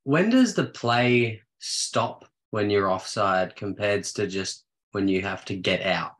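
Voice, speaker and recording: A man with a lowish voice asks tentative questions on a call. He speaks slowly and drags his words out. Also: animated, a bit bright. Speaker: hockey player.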